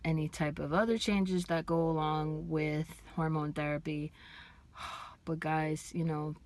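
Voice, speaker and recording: A young transgender man before hormone therapy, with a fairly high voice, chatting excitedly to his viewers in a casual vlog. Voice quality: higher-pitched voice. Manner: excited, fast-talking, chatty with many fillers. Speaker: vlogger.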